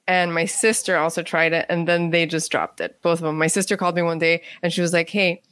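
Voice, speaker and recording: A woman's voice: lowish voice, level tone, fairly quick, fairly bright tone, dry recording. A middle-aged woman, a writer being interviewed, telling anecdotes in casual chat. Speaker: guest playwright and writer.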